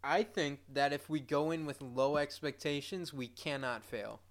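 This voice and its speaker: A man's voice: a little high, normal speed. A man, co-hosting a podcast in casual chat, dry and laughing often. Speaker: podcast co-host.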